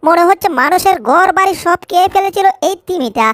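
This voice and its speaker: Very high, timid cartoon cat. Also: female voice, fairly quick, a bit bright, no echo, studio quality. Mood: curious. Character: timid, naive cartoon cat, pleading yet eager. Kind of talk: cartoon fan dub.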